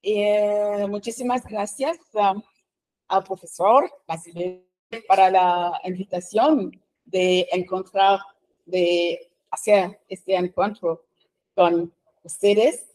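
Slow, hesitant woman from Haiti. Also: mid-range voice, a little mellow, poor audio. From Haiti, raised in Montreal, Canada. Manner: slow, hesitant, frequent fillers and self-corrections, laughs, tag questions. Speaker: professor speaking at a seminar. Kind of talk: informal self-introduction. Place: meeting room, indoors. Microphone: handheld microphone.

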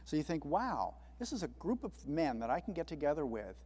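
A man with a fairly high voice speaks reflectively on a discussion panel, slipping into voiced dialogue to make his points. His talk carries a long reverb tail from the studio. He sounds thoughtful and engaged. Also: very animated, fairly quick, darkish tone, breathy edge. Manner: reflective, acts out quoted dialogue.

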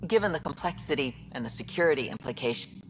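Formal scientist doctor. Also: female voice, deep voice, lively intonation, fast, soft background music, poor audio. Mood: measured. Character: measured female scientist doctor. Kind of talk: video game dialogue.

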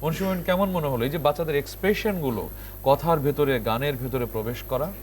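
A man's voice: fairly high pitch, a little fast, a little mellow, slight background noise. A man with a Bangladeshi accent presenting a television song programme, addressing viewers in a formal announcer manner. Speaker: TV show host.

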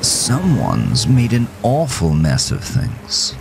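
Man as an eerie, high-pitched talking horse. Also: wildly swooping pitch, slow, vocal fry, faint music behind, full character voice. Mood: coolly curious. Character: elegant talking horse, eerily composed, inquisitive.

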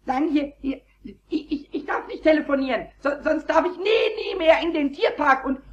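A man playing a mischievous, excitable little kobold in a children's radio play, squeaky and cackly, stammering and repeating words. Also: moderate pace, lo-fi sound, slight background noise. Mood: agitated, flustered.

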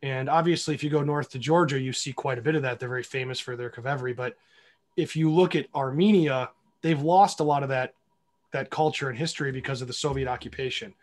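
A man's voice: mid-range voice, fast talker. A man from Cleveland with an American accent, talking casually and opinionatedly as a panelist, qualifying his points as he explains. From Cleveland, United States. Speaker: panelist, wine documentary filmmaker. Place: remote virtual panel call.

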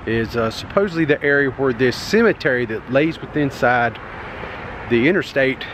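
A man in his forties chatting casually as he films himself with a phone at arm's length, walking outdoors by a busy highway. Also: expressive, moderate pace. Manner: chatty, thinks aloud with many "uh"s. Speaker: vlogger exploring abandoned places. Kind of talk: casual walk-and-talk vlog. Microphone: phone held at arm's length. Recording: traffic noise behind. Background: passing cars.

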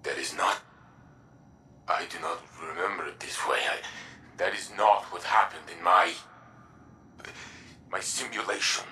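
An actor playing a troubled, self-doubting robot warrior in a video game, halting and formal. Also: male voice, low voice, sharp tone, a little hiss or hum, full character voice. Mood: hurt, insistent. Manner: slow, halting, formal phrasing. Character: troubled, wounded male robot warrior doubting his own worth. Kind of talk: dramatic video game acting.

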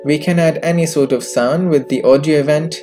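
A man presenting a software tutorial in scripted narration, recorded as a close voice-over with music behind him. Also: on the high side, steady tone, a little fast. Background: background music.

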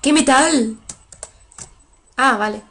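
A young woman with a Spain Spanish accent, giving casual, excitable commentary on a game at her computer. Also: high-pitched, takes it slowly, breathy edge. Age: young adult. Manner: excitable, laughs often, sudden shrieks. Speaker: let's player. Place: room at a computer.

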